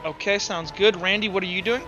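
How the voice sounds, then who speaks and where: A man's voice: high voice, steady tone, fairly quick, highs cut off. A man acting as game master in a tabletop roleplaying game, talking casually and swearing freely. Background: background music.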